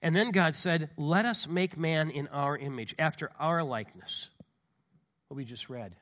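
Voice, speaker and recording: A high, bright-voiced man gives a sermon with a stuffy nose from a cold. He talks in a folksy, conversational way, full of asides and restarts. He reads scripture aloud with rising emphasis. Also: a little slow. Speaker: pastor.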